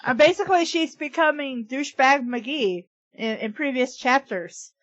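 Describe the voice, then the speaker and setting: A woman hosting a comedy reading of fan fiction, alternating deadpan narration with sarcastic asides and giggles. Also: high-pitched, normal speed, no echo. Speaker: reader and podcast host. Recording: dull lo-fi sound.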